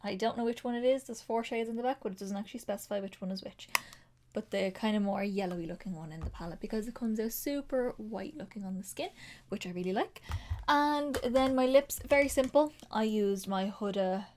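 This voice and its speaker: A woman's voice: mid-range voice, a little fast, a little mellow, a little hiss or hum. A woman talking casually through a makeup tutorial. She is slightly nasal from a sinus infection and rambles with frequent ums and likes. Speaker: beauty vlogger.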